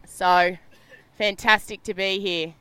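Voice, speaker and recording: A woman from Sydney with a loud, bubbly, chatty manner, giving live commentary on a sailing race from a media boat. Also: mid-range voice, fairly even intonation, slow, clear, resonant tone, no room sound, poor audio. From Australia.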